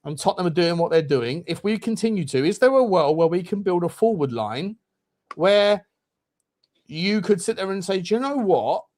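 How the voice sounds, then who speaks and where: A man's voice: high-pitched, animated, normal speed, a little mellow. A man hosting a casual football chat, quizzing his panel with what-if questions. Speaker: host.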